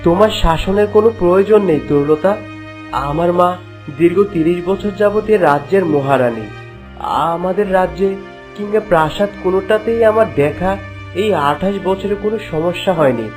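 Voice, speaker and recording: A man's voice: high voice, normal speed, a little mellow, close to an everyday voice. A man plays a young fairy-tale prince, stern and reproachful. It is cartoon dubbing. Light music runs behind the voice. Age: young adult. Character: stern young prince of twenty-eight, devoted to his mother.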